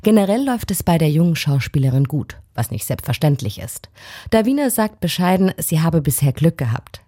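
Low, husky female news narrator. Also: no echo. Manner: measured, expressive reading. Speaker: reporter. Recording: dry close voice-over.

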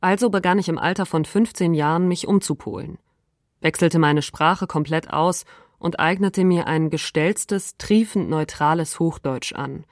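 A woman reads quoted passages aloud, calm and deliberate. Her voice sits somewhat low and sounds dry and close to the mic. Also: no room sound. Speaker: reader of quotations. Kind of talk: reading quoted text.

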